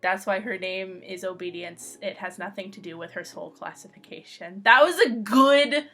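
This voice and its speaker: Bright-voiced woman chatting casually. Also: medium pitch, normal speed, faint music behind. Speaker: comic creator answering fan questions. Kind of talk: casual playful chat.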